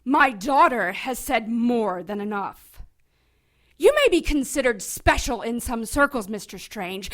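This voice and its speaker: Shrill, haughty witch queen mother. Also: pushed and bright, full character voice. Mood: cold scorn. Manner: imperious, theatrical, scornful. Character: haughty venomous old witch Queen Mother, proud and contemptuous. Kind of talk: audio drama acting.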